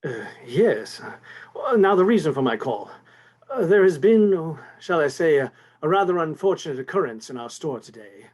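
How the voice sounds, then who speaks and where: An actor playing a fussy, anxious store executive in a 1950s-style radio play, speaking formally with polite hesitations. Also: male voice, a little high, very animated, normal speed, a little mellow. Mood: formal, uneasy.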